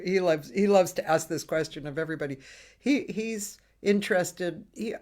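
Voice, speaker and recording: A woman's voice: low voice, darkish tone. A woman hosting a live online show, talking casually and rambling, with many restarts. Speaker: host. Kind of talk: casual chat.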